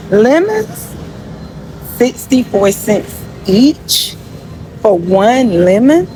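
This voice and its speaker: A woman talking casually to her followers in an emphatic, folksy way, as if showing them around. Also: mid-range voice. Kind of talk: casual complaint vlog to followers. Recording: noisy ambient background.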